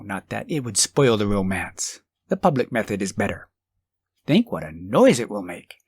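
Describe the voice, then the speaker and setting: A man playing a poor old working husband in an audiobook story, excitable and emphatic. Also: medium pitch, vocal fry, no echo, exaggerated voice.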